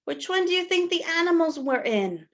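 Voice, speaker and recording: A woman's voice: very high voice, moderate pace, dull audio. A woman reading a picture book aloud to young children like a teacher, expressively, with questions and asides to her listeners. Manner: expressive, addresses children as friends, asks questions, gasps for effect.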